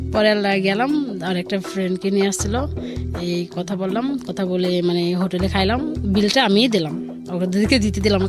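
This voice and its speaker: A woman with a Bangladeshi accent telling a personal story conversationally, quoting dialogue back and forth in colloquial speech. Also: mid-range voice. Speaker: caller or guest telling her life story. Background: music.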